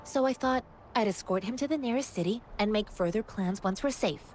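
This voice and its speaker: A woman's voice: medium pitch, brisk, darkish tone, slight background noise, lightly acted. An actress playing an earnest, kind-hearted young woman martial-arts wanderer in a video game, speaking politely and sincerely.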